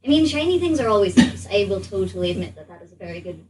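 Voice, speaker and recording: A woman voicing a bewildered but polite adventurer in a tabletop game, speaking in a halting, stammering way. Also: medium pitch, big pitch swings, darkish tone, voice pushed down, close to an everyday voice. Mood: hesitant. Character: bewildered, polite traveled adventurer in a strange body. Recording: distant mic, background noise.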